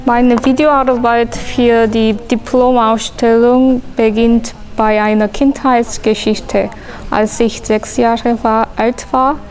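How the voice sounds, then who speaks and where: Female artist, Korean accent, fairly high voice, measured scripted presentation, unhurried. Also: darkish tone, low-quality recording. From South Korea. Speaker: artist presenting own diploma work. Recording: faint background noise.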